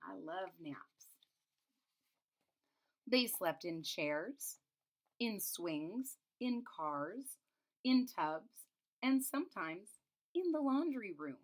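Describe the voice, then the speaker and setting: A woman's voice: medium pitch, sing-song, very slow, pauses often. A woman reads a children's picture book aloud expressively, adding simple explanations as a teacher would. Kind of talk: children's storybook read-aloud with asides.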